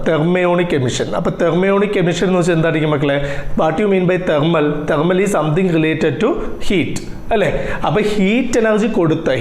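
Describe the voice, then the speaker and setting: A man with a fairly high voice and a Malayali accent gives a lesson in a very echoey room. He teaches in an instructive way, posing frequent questions and supplying the answers, and mixes in English technical terms. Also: a little mellow. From Kerala, India. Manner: instructive, constantly posing questions to students and answering them himself. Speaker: physics teacher at an online coaching class.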